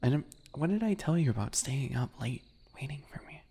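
A man voicing a sweet-spoken, obsessive male bunny predator in an ASMR roleplay, soft, breathy and teasing, often whispering. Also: medium pitch, lively intonation, a little hiss or hum. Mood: shy, sweet. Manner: slow, teasing, giggly, menacing.

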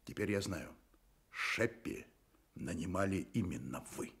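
An actor playing a sardonic, challenging private detective in a radio play. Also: male voice, sits low, lively intonation, unhurried, creaky, a bit bright, slightly rough, exaggerated voice. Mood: defiant, sardonic. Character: defiant, wry male private detective.

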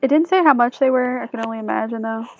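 A woman's voice: on the high side, fast, a little mellow. A young woman narrating a casual shopping vlog, chatting with viewers and asking them questions. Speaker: vlogger. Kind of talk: casual shop-with-me voice-over. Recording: dull dry sound under music.

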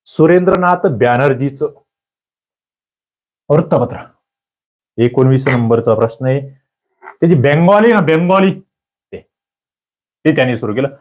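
A man's voice: medium pitch, lively intonation, a little slow, pauses often, a little mellow. A man teaching an exam-prep history lesson, reading out quiz questions and answers and coaxing his students, repeating the key answers.

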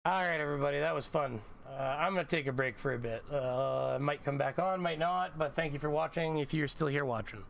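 A man in his thirties streaming a shooter game from home, calling out directions excitedly and swearing as he plays with a friend. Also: on the high side, fairly quick, vocal fry, few pauses, slight background noise. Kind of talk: casual gaming chat. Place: home room, seen on webcam.

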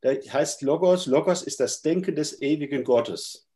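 A man's voice: on the high side, normal speed, warm tone. A middle-aged man with a stuffy cold gives a thoughtful, hesitant lecture from a room at home over a video call. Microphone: webcam on a video call.

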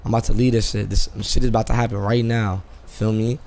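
Gravelly, brash American man streaming. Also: lowish voice, fairly even intonation, fast, darkish tone, slight background noise. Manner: brash, profane, repetitive street slang. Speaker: live streamer playing a GTA roleplay game. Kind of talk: casual slangy gameplay stream chat.

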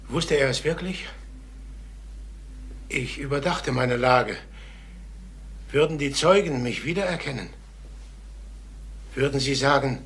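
An actor playing a nervous, defensive older travelling salesman who confesses, in a halting, brooding radio crime drama manner. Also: mid-range voice, a bit bright.

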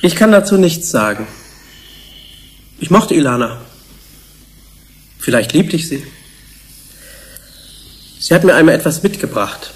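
An actor playing a reflective research scientist in a radio play, speaking in an understated, halting way. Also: male voice, fairly high pitch, very animated. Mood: wistful, subdued. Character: Takayama, reflective, reticent male research scientist.

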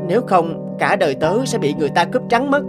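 Cartoon lead: enslaved teen inventor boy, sincere, humble, higher voice, music underneath. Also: big pitch swings, fast. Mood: earnest, pleading. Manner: polite, modest, sincere. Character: humble, earnest sixteen-year-old enslaved boy inventor. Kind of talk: cartoon dubbing.

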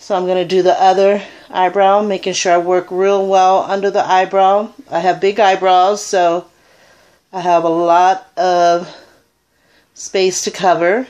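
A woman's voice: fairly low pitch, little pitch movement, measured pace, a little mellow, muffled recording. A Puerto Rican woman in her thirties, chatting her way through a casual makeup tutorial into a webcam in a small room, with plenty of ums. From Puerto Rico. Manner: chatty, rambling, filler-heavy. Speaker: beauty vlogger. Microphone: webcam at arm's length.

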